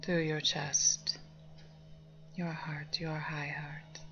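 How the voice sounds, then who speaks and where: Female meditation guide, low soft breathy voice, calming near-whisper, slightly muffled lo-fi recording. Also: very slow. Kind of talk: guided meditation, slow spoken instructions. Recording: dull lo-fi sound under music. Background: meditation music with singing bowls.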